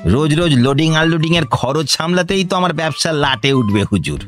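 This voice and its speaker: An actor playing a wily village grain trader who flatters and pleads long-windedly in a radio comedy. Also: male voice, fairly high pitch, fairly quick, faint music behind. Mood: pleading, wheedling. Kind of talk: Bengali radio comedy drama acting.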